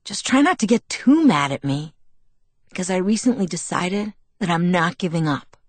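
A woman's voice: on the low side, sing-song, normal speed, no room sound. A woman voicing a stubborn, confident teenage boy in an audiobook reading, speaking in a strong, sure way. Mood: teasing, stubborn. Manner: strong, sure, insistent delivery.

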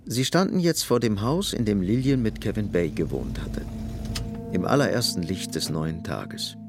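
An actor as the sober literary narrator of a radio drama, telling the story in a gravelly voice. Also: male voice, fairly low pitch, sing-song, moderate pace, music in the background. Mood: measured, atmospheric.

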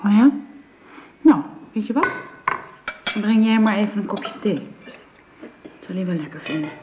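Woman playing kind young governess, medium pitch, soft coaxing manner, forgiving, radio drama, slightly muffled. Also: expressive, moderate pace, roomy sound, noisy background, lightly acted. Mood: soothing, motherly.

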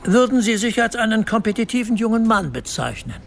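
An actor playing a jovial, self-important personnel manager in a radio drama, speaking with patronising formality. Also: male voice, sits high, sing-song, a little fast, creaky, a bit bright, a little hiss or hum, exaggerated voice. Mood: patronising, probing.

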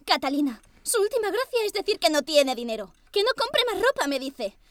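A woman's voice: very high voice, sing-song, brisk, no echo, studio quality. An actress playing a vain, spoiled wealthy young lady who complains petulantly in a radio drama. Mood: indignant.

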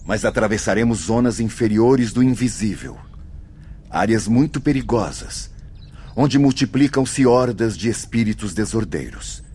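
A Brazilian man acting in a radio drama as a calm spirit guide, giving instructions in a measured way. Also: lowish voice, a little hiss or hum, lightly acted. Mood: grave, reassuring.

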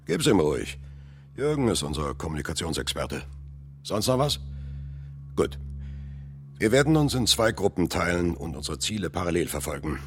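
A commanding group leader in a radio play, very deep and steady, laying out priorities point by point. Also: male voice, moderate pace, long pauses. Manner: firm, orderly, commanding.

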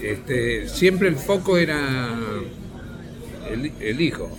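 A man with an Argentine accent giving spontaneous, halting testimony as an interviewee. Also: mid-range voice, lively intonation, crowd noise. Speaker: interviewee, relative recounting events.

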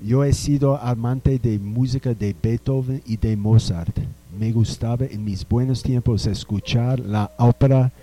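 A man playing a bewildered, nostalgic gentleman from the 1800s in a youth radio drama, speaking in a formal, old-fashioned way. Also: lowish voice. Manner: slow, wistful, formal and old-fashioned speech. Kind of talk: amateur radio drama acting.

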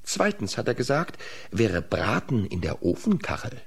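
An actor playing a sly, cheeky peasant man in a radio play, with a drawn-out delivery. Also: fairly low pitch, huge pitch swings, creaky, husky, heavily acted. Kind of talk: German radio play acting.

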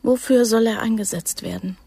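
An actress in a German radio play playing a young recruit torn by love, vulnerable and wry, in a hushed, intimate manner. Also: female voice, a little high, moderate pace, vocal fry, husky, no echo. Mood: wary.

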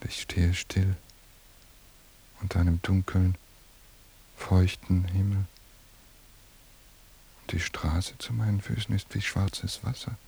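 An actor playing a melancholy, haunted surveillance cameraman in a radio play, voicing introspective thoughts with a gravelly, breathy voice. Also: deep voice, fairly even intonation, takes it slowly, pauses often, a little mellow, slight background noise, full character voice. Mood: uneasy, brooding. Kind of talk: German radio play inner monologue.

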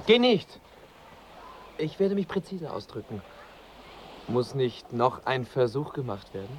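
A man's voice: medium pitch, big pitch swings, a little slow, slight background noise. An actor in a radio drama playing a married woman's earnest, evasive lover, speaking formally and with understatement. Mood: earnest, pleading.

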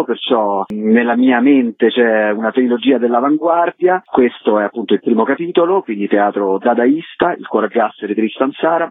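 A man's voice: mid-range voice, dry recording. A man guest in an interview, explaining his work in an articulate, courteous way. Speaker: theatre director, interview guest. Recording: thin phone line on radio.

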